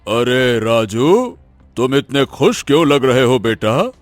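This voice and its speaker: Man as a slow-speaking wise old village teacher. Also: medium pitch, big pitch swings, dry recording, soft background music. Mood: concerned. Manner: gentle, advising, hesitant repetitions. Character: wise, concerned elderly male village teacher. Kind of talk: Hindi cartoon moral story acting.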